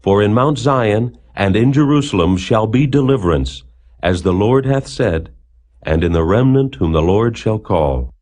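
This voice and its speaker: A man narrating scripture as a Bible reader, in a solemn, declamatory manner with measured phrasing. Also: fairly low pitch, lively intonation, darkish tone.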